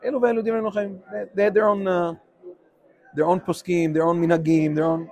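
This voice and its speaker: Man, slightly high voice, animated informal teaching, mixes English and Hebrew. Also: fast. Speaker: rabbi teaching a class. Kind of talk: informal Torah class with questions.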